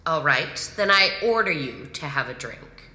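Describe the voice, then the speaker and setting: An actress playing a composed, commanding imperial grand marshal in a sci-fi audio drama, measured and probing. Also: female voice, low voice, lively intonation, pushed and bright, heavily acted. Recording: echoey hall reverb, music underneath.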